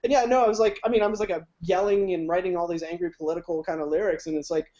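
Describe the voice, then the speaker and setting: High-voiced rambling man, lo-fi podcast. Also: fairly even intonation, very fast, mellow. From Ohio. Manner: rambling, restarts often, laughs easily. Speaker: podcast guest. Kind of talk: casual chat. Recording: dull lo-fi podcast audio.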